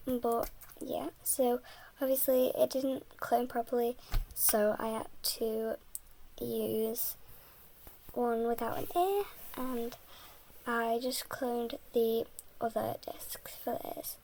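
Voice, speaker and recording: A young woman, a bit sick, rambling softly and often half-whispering in a casual voice-over about building in a game. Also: a little high, steady tone, slow, slight background noise.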